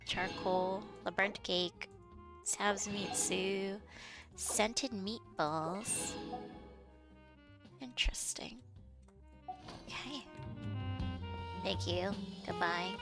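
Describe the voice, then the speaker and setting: A woman with an even mid-range voice talks through a story-driven game in relaxed stream commentary, making dry asides and reading on-screen text aloud. Soft game music sits faintly behind her. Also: mid-range voice, extremely slow, long pauses. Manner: chatty, wry, reads game text aloud. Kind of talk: casual let's-play commentary.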